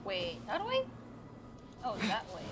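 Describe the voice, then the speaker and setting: A woman's voice: a little high, wildly swooping pitch, a little slow, noisy background. A woman live-streaming a game, commenting casually and muttering informal asides as she plays. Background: game music.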